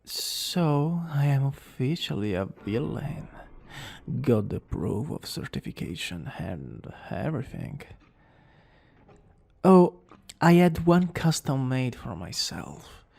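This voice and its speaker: Man acting a cocky, creaky-voiced young villain boyfriend. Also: medium pitch, a little mellow, exaggerated voice. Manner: smug, theatrical, teasing. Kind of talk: romantic ASMR roleplay acting.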